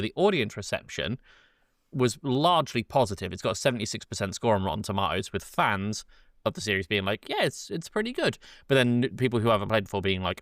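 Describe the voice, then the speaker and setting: A man's voice: fairly low pitch, fairly bright tone, dry recording, clean studio sound. A man hosting a podcast, chatting loosely and casually about film, correcting himself and swearing offhand. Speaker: podcast host.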